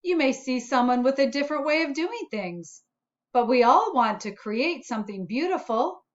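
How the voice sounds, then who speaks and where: A woman's voice: high voice, expressive, normal speed, dull audio. A woman voicing a friendly, encouraging little spot character from a children's storybook. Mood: upbeat, encouraging.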